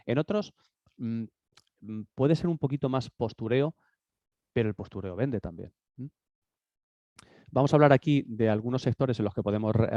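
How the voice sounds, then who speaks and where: A man's voice: fairly low pitch, dark tone, no room sound, muffled recording. A man with a cold giving an informal business seminar talk from his office desk. He hesitates often, with many fillers and restarts. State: has a cold. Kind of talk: informal presentation.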